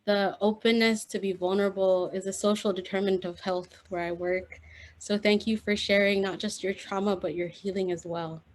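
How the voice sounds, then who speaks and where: A woman hosting an online event, gently thanking one performer and introducing the next over a video call. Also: medium pitch, fairly even intonation, normal speed. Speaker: event host.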